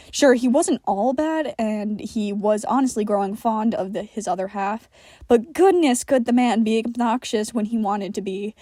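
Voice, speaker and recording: Woman reading a story out loud, audiobook style, highish voice, super dry recording. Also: dry recording. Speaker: podfic narrator. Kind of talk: scripted fiction narration.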